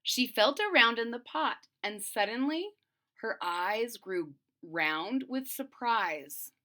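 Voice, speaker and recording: Expressive woman reading a storybook, unhurried. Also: fairly high pitch. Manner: teacherly, deliberate, emphatic. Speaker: storyteller reading aloud to children. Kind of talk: expressive picture-book read-aloud with simple explanations.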